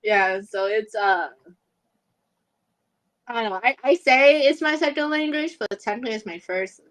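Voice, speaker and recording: A woman's voice: fairly high pitch, moderate pace, fairly bright tone, poor audio. A young woman from California, whose first language was Vietnamese, chatting hesitantly on a gaming podcast with frequent fillers and giggles. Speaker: podcast guest and streamer. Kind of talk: casual chat.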